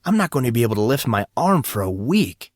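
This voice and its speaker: A man voicing an indignant, excitable teenage high school boy who talks in slangy exclamations. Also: a little fast, no echo, studio quality. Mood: dismayed.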